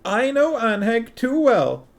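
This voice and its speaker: A man's voice: very high voice, very animated, slow pace, vocal fry, pitched above the performer's own voice, full character voice. A man doing a fussy, tearful little queen. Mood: fretful. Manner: worried, pleading, sniffly.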